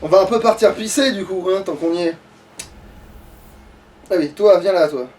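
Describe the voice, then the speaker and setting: A man's voice: high voice, moderate pace, darkish tone. A man chatting casually through a video game, swearing and muttering as he repeats himself. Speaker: let's player. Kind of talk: casual gameplay chat with swearing. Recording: distant mic, faint background noise.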